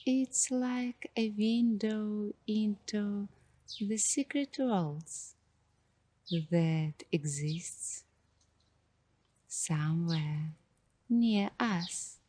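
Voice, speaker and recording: A woman hosting a guided coloring ASMR session in a soft, hushed voice, speaking dreamily in short, halting phrases. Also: medium pitch. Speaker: ASMR host.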